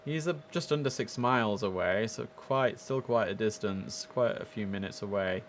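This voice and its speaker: A man's voice: lowish voice, normal speed. A British man giving casual, chatty commentary as host of a game livestream, speaking informally and directly to his viewers. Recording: clear background noise and ambience.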